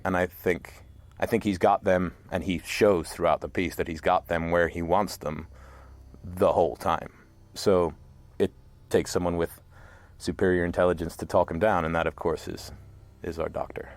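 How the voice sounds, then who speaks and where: A man from New York, an actor giving a reflective interview in a halting, thoughtful way. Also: deep voice, fairly even intonation. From New York, United States. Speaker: actor interviewed about his part.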